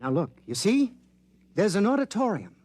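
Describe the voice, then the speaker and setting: An actor in a 1950s radio drama playing an eager, excitable male scientist who explains things in a rush. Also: high-pitched, normal speed. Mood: excited.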